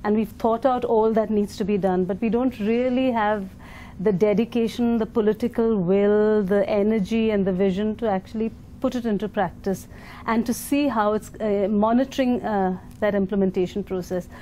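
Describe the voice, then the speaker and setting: Thoughtful Indian woman, mellow voice. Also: mid-range voice, steady tone, moderate pace, a little hiss or hum. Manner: thoughtful, hesitant, many fillers and restarts. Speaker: education foundation leader, interview guest. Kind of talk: conference interview answers.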